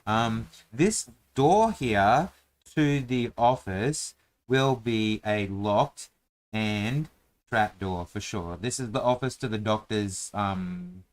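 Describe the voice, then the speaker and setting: A man's voice: fairly low pitch, expressive, takes it slowly. A man hosting a casual chat while drawing a dungeon map, talking in a playful, rambling, self-mocking way.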